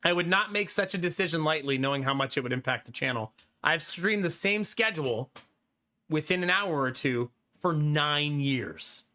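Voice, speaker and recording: A young adult man streaming live, chatting casually with his viewers, animated and talkative, often laughing. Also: on the high side, normal speed.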